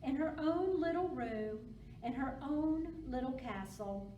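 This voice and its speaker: A woman's voice: high voice, expressive, takes it slowly, highs cut off. A woman presenting a storytime for young children and reading aloud, speaking simply and encouragingly. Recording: roomy echo, distant mic, background noise.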